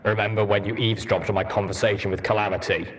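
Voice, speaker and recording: A gruff, low-pitched soldier stallion performed by a man from a post-apocalyptic pony audiobook. He is stoic and formal, choosing his words in a measured, blunt manner. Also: flat delivery, natural voice. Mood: blunt, assessing.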